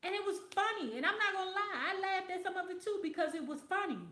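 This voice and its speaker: High-voiced blunt woman, echoey room. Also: fast, bright, forceful tone. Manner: blunt, profane, emphatic. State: losing her voice. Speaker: livestream host reading viewer comments. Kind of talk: casual livestream commentary.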